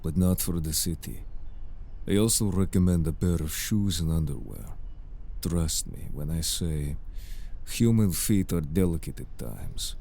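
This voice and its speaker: Man acting very deep, composed merman, dryly exasperated. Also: animated, gravelly, warm tone, exaggerated voice. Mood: exasperated, scolding. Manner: formal, measured, dry. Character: composed, exasperated older-brotherly male merfolk in human form. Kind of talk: scripted audio roleplay acting.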